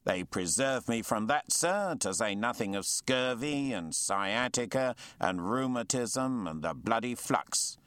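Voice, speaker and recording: A man's voice: medium pitch, animated, measured pace, hardly any pauses, a bit bright, smooth, no echo, pitched above the performer's own voice. A man voicing a blustering, indignant navy lieutenant of fifty who rails in an old-fashioned way. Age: 50s.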